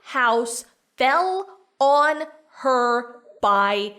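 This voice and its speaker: Feisty, high-voiced young farm girl. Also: expressive, drawn-out words, fairly bright tone, heavily acted. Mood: exasperated, protesting. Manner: blunt, sarcastic, emphatic. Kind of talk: audio drama acting.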